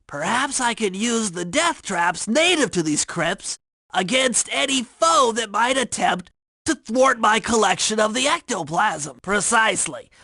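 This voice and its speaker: A man's voice: high voice, bright, forceful tone, no room sound, full character voice. A man voicing a nerdy, anxious young male turtle inventor and hacker in a video game, speaking in precise, wordy technical phrases.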